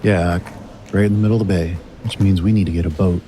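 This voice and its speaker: A man playing an easygoing, slightly sheepish young stage magician with a husky voice in an audio drama. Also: low voice, steady tone, quick pace, background noise, natural voice. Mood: matter-of-fact.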